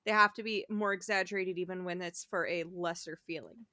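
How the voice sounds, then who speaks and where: A woman co-hosting a podcast, chatting casually in a blunt, animated way. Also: on the low side, brisk, dry recording, clean studio sound. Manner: animated, blunt, swears freely. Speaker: podcast co-host. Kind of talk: casual chat.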